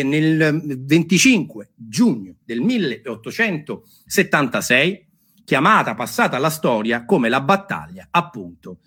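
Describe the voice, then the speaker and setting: Male theatre storyteller, high-pitched and raspy, delivering emphatic dramatised history with repetitions and stretched key words. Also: a bit bright.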